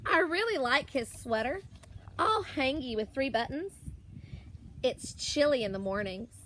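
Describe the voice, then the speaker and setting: A woman reading a children's picture book aloud as a teacher would, expressively, with drawn-out words and deliberate pauses. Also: high-pitched, expressive, fairly bright tone, slight background noise. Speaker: primary school teacher.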